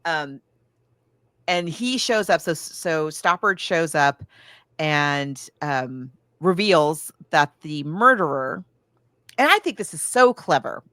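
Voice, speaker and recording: A woman's voice: sits low, expressive, slow, fairly bright tone, no echo, studio quality. A woman podcast co-host casually recapping a film, thinking aloud and backtracking as she goes, slipping into casual quoted asides. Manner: acts out characters' lines in casual asides.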